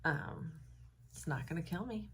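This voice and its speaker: A woman's voice: low voice, expressive, measured pace, a little hiss or hum. A young woman talking alone to her phone camera in a room at home, thoughtful and hesitant, with many ums and likes and a slightly froggy voice. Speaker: vlogger. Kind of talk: unscripted reflective talk to camera.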